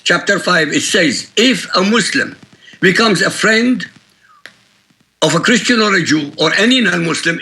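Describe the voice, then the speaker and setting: A man from Iraq with an Iraqi Arabic accent, a native Arabic speaker, answering interview questions emphatically and with forceful repetition. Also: a little high, rough recording. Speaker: guest author.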